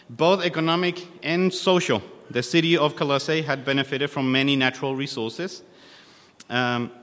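A man in his thirties from Chile, a native Spanish speaker with a Chilean accent, preaching informally and haltingly with a self-deprecating manner. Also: mid-range voice, a bit bright. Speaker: guest preacher. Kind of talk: sermon. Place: church hall. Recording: long hall reverb.

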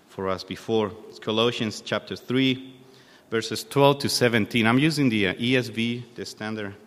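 A man in his thirties from Chile, a native Spanish speaker with a Chilean accent, preaching informally and haltingly with a self-deprecating manner. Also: fairly low pitch, fairly bright tone. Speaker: guest preacher. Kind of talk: sermon. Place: church hall. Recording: long hall reverb.

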